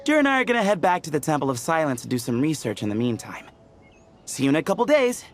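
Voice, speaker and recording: A cheerful young adventurer from a game, relaxed and agreeable. His voice swings lively up and down. Quiet music sits behind him. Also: a little high, fast. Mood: easygoing. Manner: upbeat and agreeable. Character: friendly, easygoing young male adventurer.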